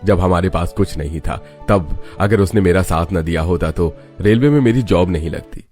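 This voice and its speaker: A man playing a calm, indulgent middle-aged father in a moral story, reasoning in an unhurried manner. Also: low voice, faint music behind, close to an everyday voice. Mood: earnest. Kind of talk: Hindi moral story voice acting.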